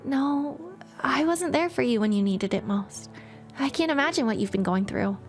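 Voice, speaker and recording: A woman's voice: high voice, expressive, faint music behind, voice pushed up. Woman playing a timid, guilt-ridden young girl. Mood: guilty. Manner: halting, apologetic.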